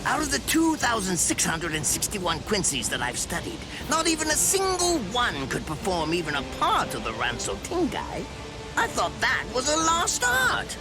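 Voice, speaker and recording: Wildly swooping villainous mad scientist. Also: male voice, sits high, huge pitch swings, moderate pace, over music, poor audio. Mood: fascinated. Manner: theatrical, sneering, savoring words. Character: eccentric gleeful villainous mad scientist, adult male spirit. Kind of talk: English-dubbed anime game acting.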